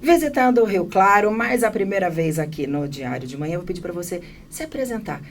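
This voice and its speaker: A woman from São hosts a talk show in a fairly low voice. She sounds a little away from the microphone, with faint noise around her. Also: expressive, brisk, distant mic. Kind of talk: talk show presenting and interviewing.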